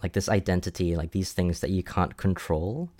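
A young person in their twenties from Singapore, telling their life story in a relaxed, casual way with many "like"s and restarts. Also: male voice, low-pitched, moderate pace, no room sound. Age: 20s. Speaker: interview guest.

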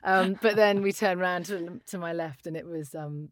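Low-voiced British woman chatting. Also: moderate pace. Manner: chatty, laughs often, many fillers and restarts. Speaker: voiceover artist guest. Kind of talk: casual interview chat.

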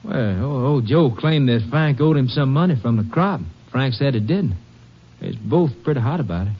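A hesitant, touchy farm man with a rural Southern American accent. His voice sits at middle pitch, evasive and defensive, on a muffled old western radio broadcast. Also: lively intonation, moderate pace. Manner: hesitant, evasive, easily riled. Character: uneasy, defensive male Texas farm brother. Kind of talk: 1950s western radio drama acting.

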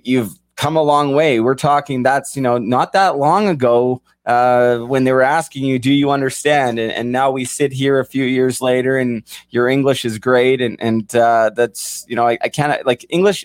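A man's voice: medium pitch, dry recording, studio quality. A man hosting an interview, rambling and repeating words as he frames his questions. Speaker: podcast host. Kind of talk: casual interview chat.

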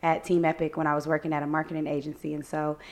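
A slightly hoarse young woman, co-hosting a casual faith podcast, upbeat and reflective, laughing easily. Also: sits low, flat delivery, fast. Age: young adult. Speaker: podcast co-host.

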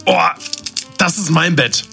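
A man's voice: on the high side, takes it slowly, creaky, over music. A man playing a brash, cheeky buddy in a comedy audio drama, talking casually in teasing slang.

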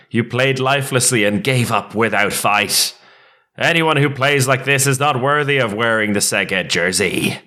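A man doing a melodramatic dramatic reading as an outraged sports fan, slow and emphatic. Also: medium pitch, pushed and bright, voice pushed up. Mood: indignant. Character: outraged sports fan group declaiming an open letter.

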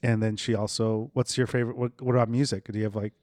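A man's voice: on the low side, little pitch movement, fast talker, darkish tone, dry recording, studio quality. A man hosting a casual podcast chat, who asks probing questions and teases his guest. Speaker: podcast host.

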